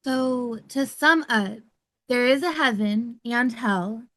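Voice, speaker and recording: A woman's voice: a little high, takes it slowly, a bit bright, dry recording. A woman reading the part of an anxious, incredulous man demanding explanations at a table read. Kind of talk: amateur script table read.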